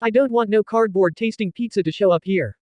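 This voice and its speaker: A man playing an eager, carefree teenage boy in a visual novel, talking in animated slang. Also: sits high, a little fast, dry recording, natural voice. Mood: pushy, casual.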